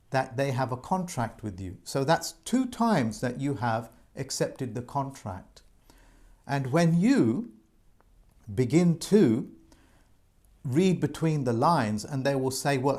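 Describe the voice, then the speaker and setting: A man's voice: mid-range voice, darkish tone. A man speaks straight to his viewers, calling them folks. His voice sits mid-height and moves a lot, and he keeps circling back to his points with earnest insistence. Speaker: presenter giving debt advice. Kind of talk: informal advice monologue to viewers.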